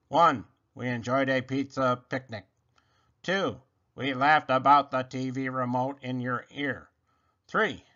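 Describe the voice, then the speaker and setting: Man playing a polite, slow-talking storybook robot. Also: medium pitch, sharp tone, highs cut off. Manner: formal, precise wording. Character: polite, literal-minded male robot in a children's storybook.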